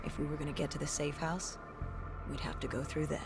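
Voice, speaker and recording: Grim, haunted soldier over music. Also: female voice, deep voice, little pitch movement. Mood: subdued recounting. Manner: grave, terse, measured storytelling. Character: battle-hardened female soldier, haunted and grim. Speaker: narrator. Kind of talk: dramatic scripted game narration.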